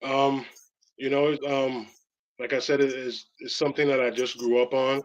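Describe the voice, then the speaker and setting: A man from Belize with a Belizean accent, a rapper answering interview questions in a halting way, full of fillers. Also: medium pitch, level tone, lo-fi sound. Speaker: rapper guest.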